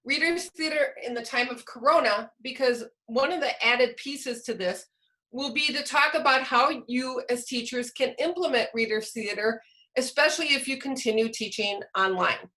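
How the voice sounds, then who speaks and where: A woman's voice: sits high, moderate pace, sharp tone, rough recording. A woman presenting an online workshop in an explanatory way, posing questions to her listeners as a teacher trainer would over a video call.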